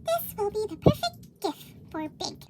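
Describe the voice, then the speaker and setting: Woman putting on a squeaky, eager little animal child. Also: big pitch swings, unhurried, background noise, heavily acted. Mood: hopeful. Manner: cutesy, childlike squeaky delivery.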